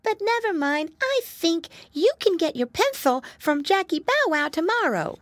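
A woman voicing a little boy piglet who is eager, excitable and easily frightened, in a children's storybook. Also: very high voice, normal speed, dry recording, clean studio sound, pitched above the performer's own voice. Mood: agreeable, eager. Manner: exclamatory, eager.

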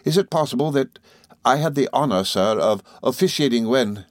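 A man voicing a meek, timid middle-aged country doctor who speaks slowly and apologetically, stammering and adding sir to nearly every phrase. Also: mid-range voice, lively intonation, no room sound, clean studio sound, voice pushed up, lightly acted. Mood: meek, fluttered. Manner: slow, apologetic, hesitant, with deferential sirs.

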